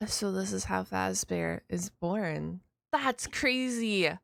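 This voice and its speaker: A woman giving casual reaction commentary in a chatty, expressive way with short interjections. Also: mid-range voice, takes it slowly, gravelly, no echo, studio quality. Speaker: reaction streamer.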